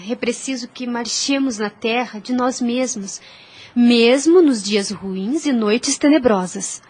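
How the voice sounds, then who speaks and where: An actress playing a devout, gentle young woman in an audio drama, speaking in a measured, formal, preachy way. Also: fairly high pitch. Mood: reassuring. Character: devout young woman, gentle, wise, earnest spiritual teacher.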